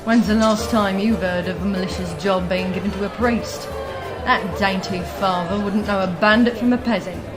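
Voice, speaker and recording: A woman's voice: mid-range voice, steady tone, moderate pace, close to an everyday voice. A woman playing a shrewd, gossipy tavern keeper in a fantasy audio drama, talking in a colloquial, conspiratorial way. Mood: grave, confiding. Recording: music and tavern crowd behind the voice. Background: music, tavern crowd.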